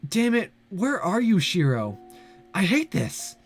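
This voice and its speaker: A man's voice: high-pitched, huge pitch swings, takes it slowly, faint music behind, voice pushed up, exaggerated voice. A man reading aloud as an anxious teenage schoolboy narrating a spooky visual novel, wry and muttering to himself. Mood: nervous, exasperated.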